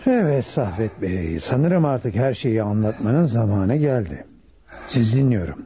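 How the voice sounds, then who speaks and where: An actor playing a grave, authoritative chief inspector in a Turkish radio drama, solemn and probing, with a husky voice. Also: male voice, fairly low pitch, big pitch swings, unhurried, dull audio, a little hiss or hum. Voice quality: very dark, rough husky.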